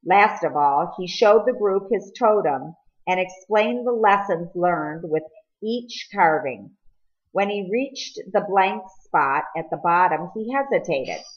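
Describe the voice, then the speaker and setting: A woman reading a novel aloud as expressive storybook narration. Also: on the low side, lo-fi sound. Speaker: audiobook reader.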